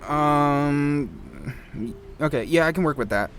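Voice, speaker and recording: A man's voice: on the high side, slow pace, fairly bright tone, soft background music. A man playing a slangy, joking young sound man of a ghost show in an audio drama, casual and teasing. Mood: amused, doubtful. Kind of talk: horror audio drama acting.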